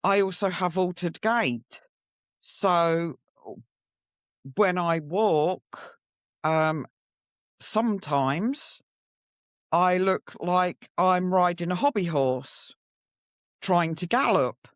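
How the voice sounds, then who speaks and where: Middle-aged woman, podcast guest, reflective and candid, very measured pace, intimate dry recording. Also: fairly low pitch, no echo. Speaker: podcast guest sharing her story. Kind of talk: personal storytelling in interview.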